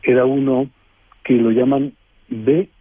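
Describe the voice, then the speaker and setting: Male interview guest, husky, halting storyteller, dry narrow phone sound. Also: medium pitch, steady tone, no room sound. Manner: halting, digressive, frequent tag questions. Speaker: guest, travel writer. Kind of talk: conversational travel anecdotes in an interview. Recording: muffled, phone-like narrowband line.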